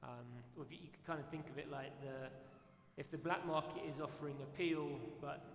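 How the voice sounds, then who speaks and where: A man speaking on a conference panel in a measured, deliberate way, often pausing on fillers. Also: fairly high pitch, fairly even intonation. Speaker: operator panelist. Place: conference hall on stage. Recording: echoey conference hall with faint noise.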